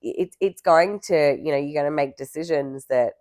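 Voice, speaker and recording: A young woman talks casually to her viewers in a strikingly deep, low voice, hoarse from a recent illness. She rambles gently, full of "um" and "you know". The sound is dry and close, with no room echo. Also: moderate pace. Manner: rambling, lots of fillers. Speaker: vlogger. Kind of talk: casual talk to camera.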